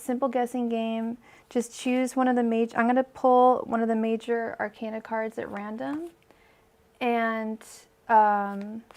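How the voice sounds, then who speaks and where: Chatty young woman with a gravelly edge. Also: a little high. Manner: chatty, filler-heavy, addresses viewers by name. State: voice feels weak today. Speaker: tarot reader hosting a livestream. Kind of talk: casual livestream chat.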